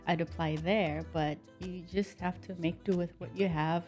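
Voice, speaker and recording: Woman guest, lowish mellow voice, frank and reflective. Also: steady tone, normal speed, faint music behind. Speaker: studio guest. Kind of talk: casual studio chat.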